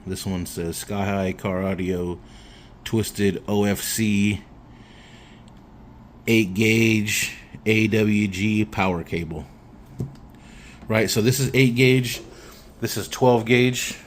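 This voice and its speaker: A man's voice: lowish voice, steady tone, takes it slowly, long pauses. A man giving a casual hands-on explanation in a plainspoken, matter-of-fact way, recorded on a phone. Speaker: hobbyist demonstrating radio wiring. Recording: phone recording with background noise.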